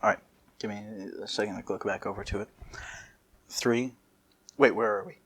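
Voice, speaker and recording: A man's voice: fairly low pitch, fairly even intonation, moderate pace, a bit bright, husky. A man hosting a casual comedic story reading in a jokey, informal manner. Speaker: fan fiction reader and host.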